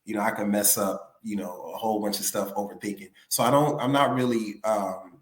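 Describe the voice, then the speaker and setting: A man's voice: lowish voice, brisk, reverberant. A male singer being interviewed, talking casually and rambling with lots of "you know what I'm saying". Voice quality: raspy.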